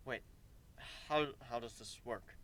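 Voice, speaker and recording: Man playing a quiet, shy wolf. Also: mid-range voice, slow, no room sound, pitched below the performer's own voice. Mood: shy, curious. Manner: quiet, hesitant. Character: shy, quiet male wolf, unsure of himself.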